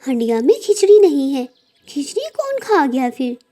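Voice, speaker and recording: Woman as a high-voiced mother sparrow, caring yet firm. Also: big pitch swings, normal speed, noisy background. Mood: worried.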